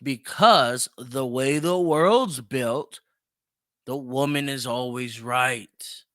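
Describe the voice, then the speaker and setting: A man's voice: medium pitch, extremely slow, fairly bright tone, dry recording, studio quality. A man hosting a solo opinion podcast, speaking emphatically and repeating phrases for effect. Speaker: podcast host.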